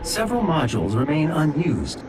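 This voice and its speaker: A man's voice: fairly high pitch, huge pitch swings, normal speed, background noise. An actor voicing a helpful male ship computer in a video game, giving scripted instructions in a formal, composed manner. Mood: formal, informative. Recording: distant voice under loud music. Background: loud game music.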